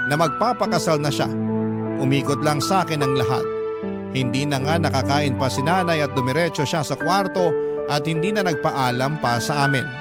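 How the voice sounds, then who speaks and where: A man narrating a Filipino radio drama as a scripted, first-person dramatic story. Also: mid-range voice. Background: prominent background music.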